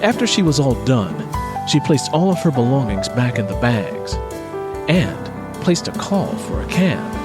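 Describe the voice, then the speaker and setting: A man's voice: mid-range voice, sing-song, measured pace, loud music behind the voice. A man reading scripted fiction as an audiobook narrator.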